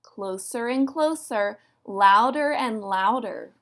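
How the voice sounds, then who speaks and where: A woman narrating a children's story in an expressive read-aloud style. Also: fairly high pitch, slow.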